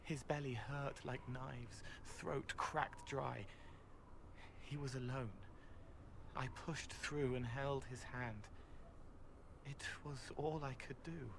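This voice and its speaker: Sad gentle spirit boy, dreamy and cryptic, really slow, noisy game audio. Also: mid-range voice, steady tone, gravelly. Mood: sorrowful. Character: gentle, haunted young male spirit, lost and sorrowful. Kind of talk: video game character dialogue.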